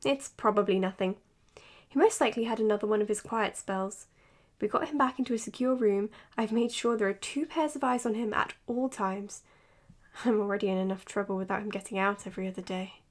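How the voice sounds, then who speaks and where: A woman's voice: medium pitch, fairly quick, natural voice. A woman acting in an audio drama as an earnest, matter-of-fact psychologist giving her case notes. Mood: tired, matter-of-fact. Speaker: doctor. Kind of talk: audio drama, recorded clinical log.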